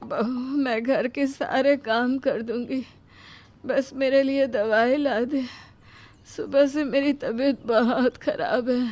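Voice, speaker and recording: A woman voicing a frail, sick elderly mother who pleads in a weak, soft voice in a cartoon story. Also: high-pitched, steady tone, takes it slowly. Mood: pleading. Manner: soft, begging. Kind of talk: Hindi cartoon dubbing.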